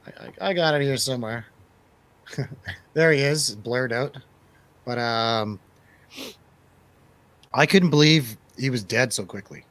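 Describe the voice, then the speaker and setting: A man with a medium-pitched voice chats casually as a review show host. He is enthusiastic and slangy, speaking in loose conversational English. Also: a little slow, long pauses, fairly bright tone, no room sound. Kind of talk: casual movie review chat.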